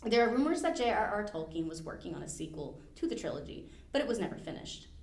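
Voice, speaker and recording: A woman's voice: mid-range voice, big pitch swings, quick pace, creaky. A woman hosts a library podcast and reads a scripted overview with plenty of rise and fall in her voice. She drops in casual, wry asides, and the room around her has a noticeable echo. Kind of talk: scripted narration of lore. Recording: roomy echo.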